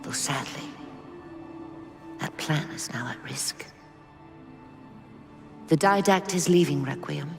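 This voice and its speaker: An actress playing a serene, ancient alien spirit in a video game, speaking in a measured, formal, breathy way. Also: female voice, low-pitched, faint music behind, full character voice. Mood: solemn. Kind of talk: video game cutscene acting.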